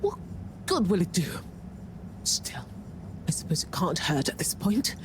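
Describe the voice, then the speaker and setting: A woman's voice: fairly low pitch, very animated, measured pace, background noise. An actress playing an imperious middle-aged female ship CEO in a video game, haughty, smug and dry. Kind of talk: scripted video game dialogue.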